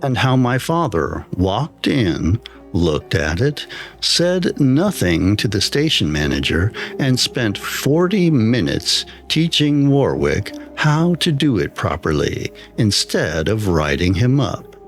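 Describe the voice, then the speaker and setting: Slow, expressive male horror story narrator. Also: on the low side. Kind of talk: scripted first-person story narration. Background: music.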